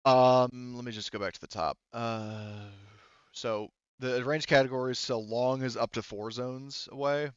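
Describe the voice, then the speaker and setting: A man's voice: medium pitch, smooth, no echo, highs cut off. A man running a tabletop game as game master, talking casually and hesitantly as he thinks through and explains the rules.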